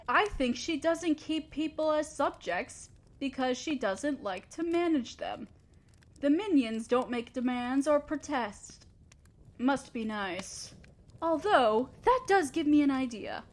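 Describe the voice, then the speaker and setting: A scheming evil queen, acted by a woman for a roleplay audio drama. Her voice sits on the high side, with an imperious, theatrical delivery. She talks down to her listener with haughty condescension and a begrudging fondness. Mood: musing, scheming.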